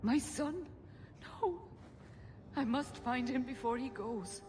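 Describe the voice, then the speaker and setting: A woman's voice: sits high, lively intonation, slow, a little mellow, in a large hall, a little hiss or hum. An actress playing a devoted mother, speaking with urgent, heartfelt feeling. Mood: anxious, grateful.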